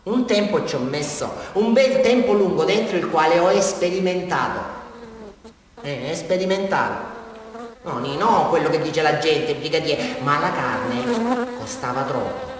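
In a hugely echoing hall with music around him, an unhinged Southern Italian village villain making his confession. His voice is high for a man and wildly changeable. He rambles and repeats himself in a theatrical radio play monologue, veering between loud and hushed. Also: expressive, unhurried, a little hiss or hum. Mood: rambling, insistent. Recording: very echoey hall, distant mic, music.

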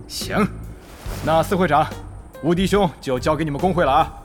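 一名男演员在广播剧里饰演一位警惕、精于算计、说话有威严的网游公会会长。. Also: male voice, a little high, normal speed, faint music behind, lightly acted. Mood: politely probing. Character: wary, calculating male online game guild leader. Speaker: voice actor. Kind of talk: Chinese audio drama acting.

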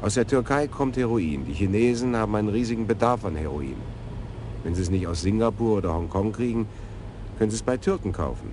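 A radio crime drama with an actor playing a blunt, matter-of-fact detective. His voice is very low, with a faint hiss behind it. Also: expressive, brisk, close to an everyday voice. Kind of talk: radio play acting.

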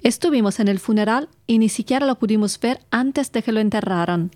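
A woman's voice: on the high side, a little fast, darkish tone, lightly acted. An actress playing a nostalgic grandmother who rambles wistfully through memories, in an audio drama. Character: nostalgic grandmother reminiscing about dead relatives.

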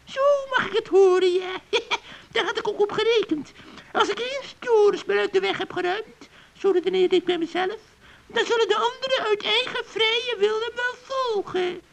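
A performer playing a gloating, spiteful old witch in a children's radio play, with a creaky, cackling voice and a mock-friendly, taunting manner. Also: very high-pitched, moderate pace, a bit bright, a little hiss or hum, heavily acted. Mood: smug, mock-friendly.

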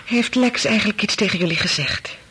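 A troubled, insistent wife in a Dutch radio drama. Her voice is very husky and fairly natural. She hesitates, repeats herself and keeps probing. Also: medium pitch, a little fast, bright, forceful tone. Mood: uneasy, probing. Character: anxious, probing wife of a doctor, brooding and insistent. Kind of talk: Dutch radio play acting.

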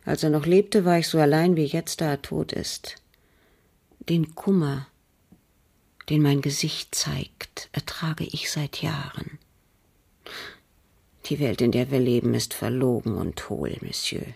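An actress playing a bitter, cold aristocratic French widow in a radio crime drama, speaking formally and guardedly with a husky, breathy voice. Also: female voice, sits low, darkish tone, heavily acted. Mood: bitter, sorrowful. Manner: measured, guarded, formal, with pauses. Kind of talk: German radio drama acting.

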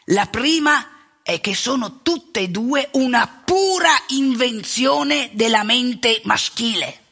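A woman playing an outspoken, rebellious elderly male priest in a colloquial theatrical monologue, wry and impassioned, with a husky, gravelly voice. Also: on the high side, animated, slow pace, a bit bright, exaggerated voice. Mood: wry, confiding. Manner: colloquial, confiding, swinging into emphatic indignation.

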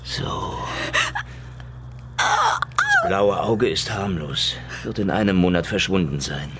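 An actor playing a cynical, world-weary Soviet secret police officer in a German radio drama, sardonic and gravelly. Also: male voice, low-pitched, huge pitch swings, takes it slowly, very noisy, heavily acted. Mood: gruff, tending. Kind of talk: German radio drama, first-person noir narration and dialogue. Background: music.

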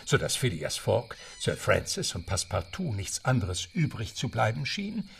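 Expressive low-voiced male storyteller. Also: normal speed, gravelly, recorded from a distance, a little hiss or hum. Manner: storytelling, literary. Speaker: narrator. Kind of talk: scripted radio play narration.

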